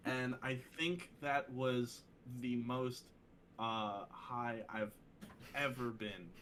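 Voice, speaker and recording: Male, mid-range voice, halting casual storyteller, faint noise behind him. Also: mid-range voice, fairly even intonation, a bit bright.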